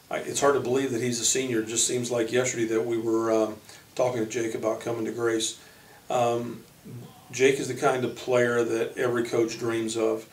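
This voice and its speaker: Echoey, distant man, even-toned coach. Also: medium pitch. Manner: halting, pausing mid-sentence. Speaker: college basketball coach. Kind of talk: informal spoken player profiles. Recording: roomy echo, distant microphone.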